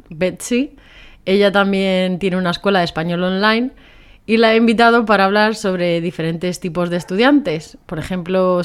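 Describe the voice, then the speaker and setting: A woman's voice: medium pitch, clear, resonant tone. A woman from hosting a casual podcast, chatty and often checking for agreement with little tag questions. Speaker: podcast host.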